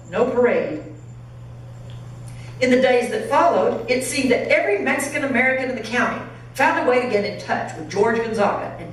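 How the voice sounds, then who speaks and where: A woman, a pastor, reading a story aloud in an expressive, dramatic narrating style. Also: fairly high pitch. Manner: dramatic reading, acting out dialogue. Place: church sanctuary. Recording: very echoey hall, distant, faint noise.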